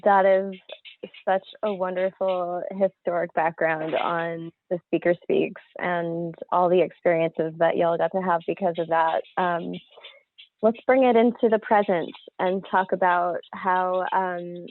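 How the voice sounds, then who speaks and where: A woman's voice: lowish voice, little pitch movement, a little slow, narrow, tinny audio. A woman hosting a conversation and putting questions to guests, on thin, dry call audio. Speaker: host, interviewer. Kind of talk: conversational moderating.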